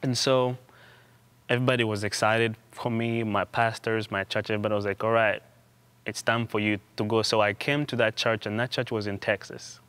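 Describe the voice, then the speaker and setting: A young man from Uganda, a student guest in an interview, telling his story in a halting way with frequent restarts and repeated words. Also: lowish voice, flat delivery, a bit bright, clear, resonant tone, no echo. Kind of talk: conversational interview.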